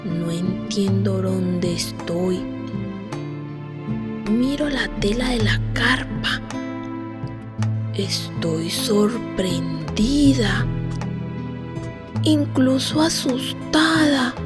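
An actress playing a wistful, dreamy eight-year-old girl in a radio play, telling her story in a childlike, expressive way. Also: mid-range voice, drawn-out words, long pauses. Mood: bewildered, uneasy. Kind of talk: radio theatre monologue. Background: music.

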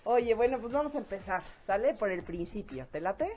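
Mexican woman hosting, mid-pitched casual voice. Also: expressive, normal speed, darkish tone. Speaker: host. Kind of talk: casual interview chat.

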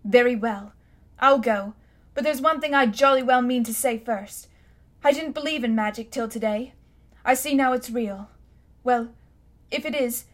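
A woman's voice: on the high side, natural voice. A woman voicing an angry young schoolboy who speaks fiercely and indignantly.

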